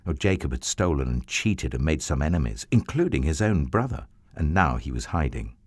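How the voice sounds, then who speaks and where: A man telling a children's Bible story in an expressive, theatrical way with drawn-out emphasis. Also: extremely low pitch, slightly rough, dry recording, clean studio sound.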